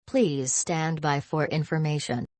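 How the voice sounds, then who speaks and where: A synthetic woman's voice reading scripted emergency broadcast announcements in a stiff, impersonal, measured way. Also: low-pitched, darkish tone, no room sound.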